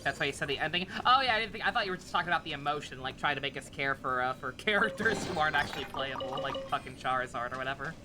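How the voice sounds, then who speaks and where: A woman's voice: low-pitched, lively intonation, racing, few pauses, music in the background. A woman game streamer giving casual, irreverent live commentary, rambling and swearing freely.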